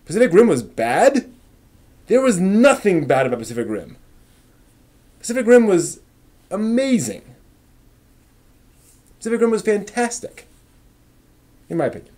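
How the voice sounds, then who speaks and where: A man hosting a casual live stream, chatting and thinking aloud in a halting way, often trailing off as he answers viewers. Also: high voice, sing-song, darkish tone.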